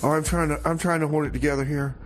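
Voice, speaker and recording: A man hosting a community radio music show, with a folksy, theatrical, halting delivery that repeats phrases. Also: on the high side, steady tone, slight background noise.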